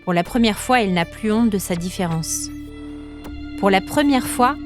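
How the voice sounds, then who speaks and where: A woman narrating a scripted story podcast in a measured, suspenseful, dramatic way, with short weighted phrases. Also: medium pitch, animated. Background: music.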